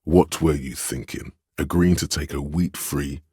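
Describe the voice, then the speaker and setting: An actor playing a stern, commanding inner voice of authority in a video game, speaking in a grave, imperious, theatrical way. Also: male voice, extremely low pitch, fairly even intonation, a little slow, warm tone, breathy edge, no room sound, studio quality, full character voice. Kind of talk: dramatic scripted game narration.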